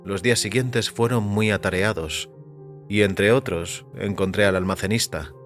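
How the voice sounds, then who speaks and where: A man narrating a scripted first-person story in a measured, literary way. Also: fairly low pitch, fairly bright tone, soft background music.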